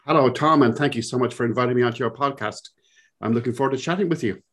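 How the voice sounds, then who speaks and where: A man's voice: mid-range voice, fast. A man around sixty with a Cork Irish accent. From Ireland. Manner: reflective, rambling with fillers. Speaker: podcast guest. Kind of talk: conversational interview.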